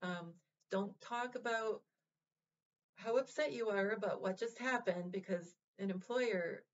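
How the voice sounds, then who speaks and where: A woman of middle pitch talks straight to her viewers, gentle and reassuring. She pauses often and restarts her phrases. The recording sounds dull and lo-fi. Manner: halting, full of fillers and restarts, gentle and reassuring. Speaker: vlog host. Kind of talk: casual heartfelt address to viewers.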